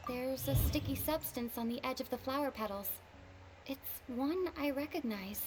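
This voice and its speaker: A woman's voice: high-pitched, moderate pace, close to an everyday voice. A woman delivering scripted video game dialogue in an explanatory manner. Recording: clear background noise and clicking.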